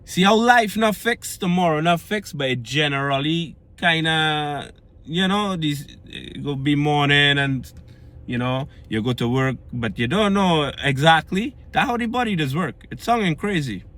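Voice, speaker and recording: A man's voice: a little high, lively intonation, a little slow, fairly bright tone. A man from Trinidad and Tobago with a Trinidadian accent, talking earnestly in a casual vlog about losing weight and keeping it off, often checking in with "right?". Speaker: fitness vlogger.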